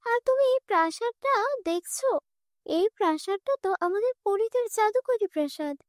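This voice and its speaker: Woman voicing a high-pitched, commanding, kindly fairy. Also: expressive, normal speed, no echo, studio quality. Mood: questioning, gentle authority. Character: graceful female fairy, commanding yet kindly. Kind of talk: children's cartoon dialogue.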